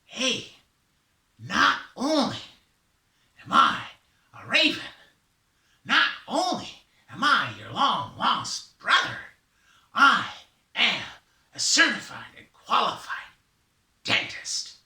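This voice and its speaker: Man doing a brash, bright-voiced storybook raven, echoey. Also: very high voice, huge pitch swings, slow, long pauses, pitched above the performer's own voice, exaggerated voice. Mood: boastful. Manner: smooth-talking, emphatic, salesman-like patter. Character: brash, reassuring male raven posing as dentist. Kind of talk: children's storytelling character voice. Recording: roomy echo, distant mic.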